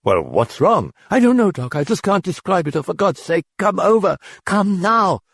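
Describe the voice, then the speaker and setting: A man's voice: on the low side, wildly swooping pitch, slow pace, slightly rough, no room sound, clean studio sound, heavily acted. A man doing a distraught, quavering mother. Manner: quavering, pleading. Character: distraught mother on the brink of hysteria.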